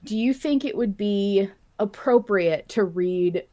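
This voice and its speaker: A woman's voice: medium pitch, slow, mellow, no room sound. A woman hosting a podcast in casual, conversational fan chat. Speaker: podcast host.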